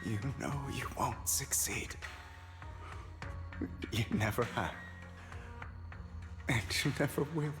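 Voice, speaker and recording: A male actor plays a proud, composed young man in a game drama. His medium-pitched voice is slow, measured and theatrical, with clear music behind it. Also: close to an everyday voice. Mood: resolute. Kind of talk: dramatic video game voice acting.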